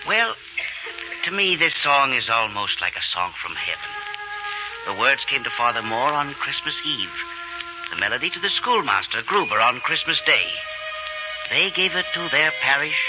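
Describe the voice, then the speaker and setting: A man's voice: mid-range voice, close to an everyday voice. An actor playing an earnest, kindly village man with a solemn, reverent manner in an old radio drama. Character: earnest, gentle village man entrusting a song to children. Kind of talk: 1940s radio drama acting. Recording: muffled old radio broadcast, music behind.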